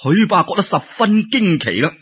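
A man's voice: sits high, sing-song, normal speed, slightly rough. A man with a Cantonese accent narrating a folk tale in colloquial oral storytelling style. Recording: dull lo-fi radio-style recording.

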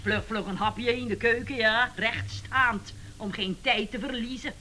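An actress playing the neglected, chatty wife of an inventor in a comic radio play, exclaiming and complaining dramatically. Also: female voice, on the high side, fairly even intonation, unhurried, pushed and bright, a little hiss or hum.

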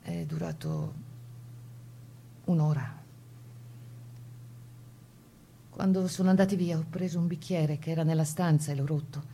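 An actress playing a captured partisan woman who recounts her ordeal in a grave, intimate, husky stage monologue. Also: low voice, normal speed, mellow, a little hiss or hum, natural voice. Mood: desperate, then resolved. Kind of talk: dramatic monologue of wartime testimony.

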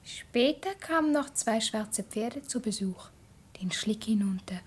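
Dreamy solemn child, high breathy voice, hushed poetic phrasing, 1960s German radio play. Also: female voice, animated, unhurried. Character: dreamy child visiting the pastor, quietly solemn. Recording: 1960s radio drama recording.

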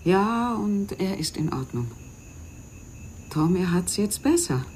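An actress playing an embittered, self-pitying woman in a radio crime drama, intense and often dropping into breathy whispers. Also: mid-range voice, animated, takes it slowly, darkish tone, a little hiss or hum. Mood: evasive calm. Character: embittered, self-pitying woman, a desperate mother who feels cheated.

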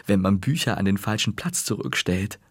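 A man's voice: lowish voice, animated, normal speed, slightly rough, no echo, studio quality. A man voicing a boastful, mischievous schoolboy big brother in an audiobook reading. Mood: scheming. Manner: boastful, scheming.

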